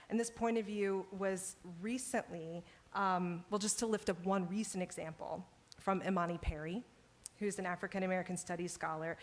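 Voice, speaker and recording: A woman author giving a measured academic talk on a stage, then hosting a panel. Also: lowish voice. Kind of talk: public lecture then moderating a panel. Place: event stage with live audience. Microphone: handheld stage microphone.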